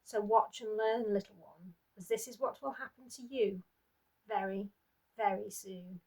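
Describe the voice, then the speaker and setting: Woman doing a cruel husband voice, snarling, taunting, loud, storybook reading. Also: mid-range voice, unhurried, rough recording. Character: brutal, sneering abusive husband, grown man.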